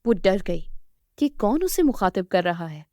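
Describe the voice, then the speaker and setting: A woman's voice: mid-range voice, sing-song, unhurried, darkish tone, dry recording, studio quality. A woman reading a scripted children's story as the storyteller.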